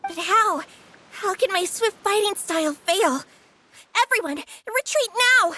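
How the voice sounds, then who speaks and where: A woman's voice: extremely high pitch, animated, a little slow, bright, forceful tone, full character voice. A woman playing a cocky, boastful young beast-tamer rival in a video game, brash and exclamatory. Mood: shocked. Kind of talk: game voice acting.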